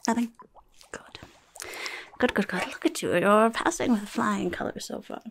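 Creaky, whispery goblin nurse played by a woman. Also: medium pitch, moderate pace, slight background noise. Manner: muttering, repetitive, grudging. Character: rude, chaotic, impatient goblin clinic nurse. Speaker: ASMR roleplay performer. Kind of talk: ASMR roleplay, soft-spoken and whispered. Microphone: close microphone.

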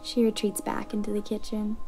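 A woman's voice: medium pitch, monotone, brisk, darkish tone. A young woman reading a scripted first-person short story as a narrator. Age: young adult. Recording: music behind the voice.

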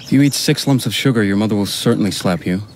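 An actor playing a courteous young American gentleman in a radio drama, polite, a little hesitant and gently teasing. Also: on the low side, quick pace, a little hiss or hum, lightly acted. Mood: amused, teasing.

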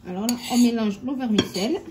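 A woman's voice: on the high side, quick pace, background noise. A woman hosting a home cooking video, chatting step by step and talking straight to her viewers. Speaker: cooking channel host. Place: home kitchen.

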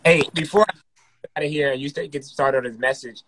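A man's voice: mid-range voice, brisk, a bit bright, no echo. A man preaching informally and encouragingly into a close microphone, emphatic and repeating phrases. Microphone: close podcast microphone with headset.

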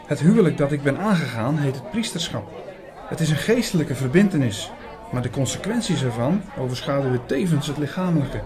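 An actor playing an earnest, gentle young priest who also narrates his story in an audio drama. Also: medium pitch, lively intonation, a little fast, a crowd in the background, lightly acted. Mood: earnest, tender.

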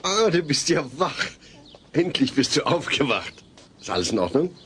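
An actor playing a gentle, patient middle-aged father in a German sitcom audio drama. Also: on the high side, sing-song, moderate pace, slightly rough, full character voice. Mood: relieved.

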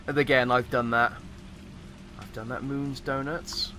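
Slightly croaky man with a bright voice. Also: mid-range voice, steady tone, unhurried, a little hiss or hum. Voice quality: slightly croaky, recovering from voice loss. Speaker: gamer, host of a let's play. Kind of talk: casual, rambling game commentary.

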